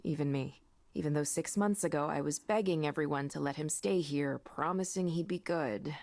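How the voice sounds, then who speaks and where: An actress playing a candid, kindly co-worker in a visual novel, with a frank, conversational delivery. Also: female voice, sits low, normal speed, gravelly, darkish tone. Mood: weary concern. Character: candid, weary but kindly woman, friendly co-worker.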